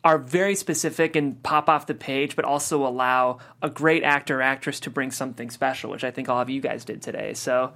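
A man's voice: mid-range voice, fairly even intonation, brisk, hardly any pauses, sharp tone. A man hosting a podcast talk after a script reading, speaking earnestly and with many fillers. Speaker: podcast host. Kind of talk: casual discussion after a table read.

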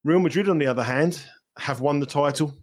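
A man's voice: on the high side, fairly quick. London man talking football bets. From England. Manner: chatty, animated football analysis. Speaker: guest betting analyst. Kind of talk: podcast interview.

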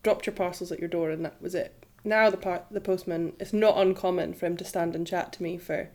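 A woman's voice: fairly low pitch, steady tone, fairly quick, a little mellow. A woman from Edinburgh, chatting casually as co-host of a podcast and laughing easily. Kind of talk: casual conversation.

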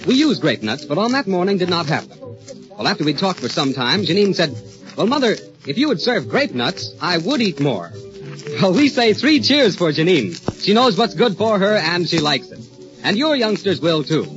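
A male American radio announcer from the 1930s delivering scripted introductions and sponsor pitches on a vintage broadcast. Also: very animated, moderate pace. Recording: dull vintage 1930s radio, music behind. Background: music.

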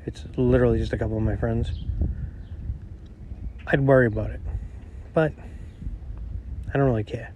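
A middle-aged man with a nasal voice hosting a podcast on his own, rambling and self-deprecating, talking quietly outdoors. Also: medium pitch, steady tone, normal speed, long pauses, a little hiss or hum, low-quality recording. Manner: quiet, not projecting. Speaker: podcast host. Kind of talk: casual solo monologue.

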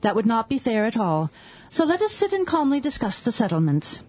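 An actress playing a scheming, vengeful mistress in a period radio drama, hiding it under silky composure. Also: female voice, a little high, very animated, brisk, a little mellow. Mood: falsely sweet. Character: scheming, vengeful spurned mistress, falsely sweet.

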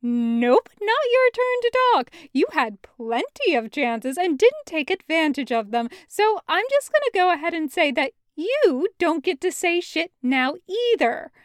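A woman voicing a furious, hurt woman confronting a friend, with forceful, sweary, accusing outbursts in an audiobook. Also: very high-pitched, very animated, measured pace, hardly any pauses, no room sound, studio quality, pitched above the performer's own voice, heavily acted. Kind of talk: audiobook character acting.

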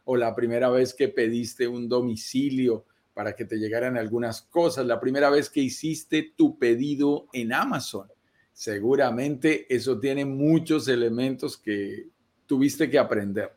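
Persuasive man giving investment advice. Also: medium pitch. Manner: measured and persuasive, speaks in lists. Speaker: real estate investment advisor. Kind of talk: explanatory live-stream talk.

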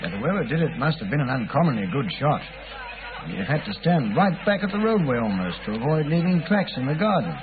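A no-nonsense police inspector, bouncy pitch, sounds like an old radio with loud hiss. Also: male voice, very animated. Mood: matter-of-fact. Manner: brisk, matter-of-fact, a bit officious. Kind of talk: 1940s radio acting. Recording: tinny, hissy old radio broadcast.

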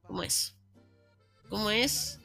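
A woman's voice: slow pace, sharp tone, faint music behind. A woman hosting a casual radio chat, joking with her guests. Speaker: radio host.

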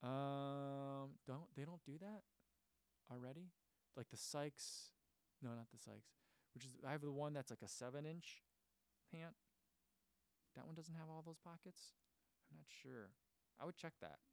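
Man, casual livestream host, rambling with fillers, mid-pitched voice, dry close recording. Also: a little slow, long pauses, clear, resonant tone, no room sound. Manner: chatty, rambling, many fillers and restarts. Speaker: running channel host reading viewer comments.

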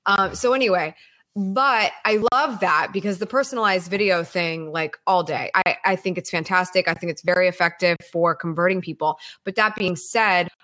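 A woman's voice: lowish voice, normal speed, sharp tone. A woman coaches a client on email marketing in a casual conversation. She asks pointed questions, thinks aloud as she talks, and sometimes acts out sample emails.

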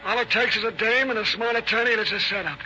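An actor playing a cynical, slangy, griping newspaper reporter in a 1930s radio drama. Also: male voice, sits high, steady tone, fast, bright, forceful tone, soft background music. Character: cynical, slangy press-room reporter, male. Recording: dull old radio recording.

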